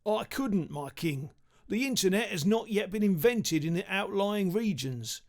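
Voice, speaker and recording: A man's voice: sits high, expressive, measured pace, a little mellow, pitched above the performer's own voice. A man voicing a weary, humble storybook messenger who speaks in an earnest, deferential way. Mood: apologetic.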